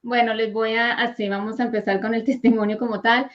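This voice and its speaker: A woman's voice: on the high side, level tone, brisk. A woman from Colombia, earnestly sharing her personal faith testimony as a guest. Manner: soft, earnest and heartfelt, with gentle fillers. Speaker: guest, host's wife. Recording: dull, lo-fi sound.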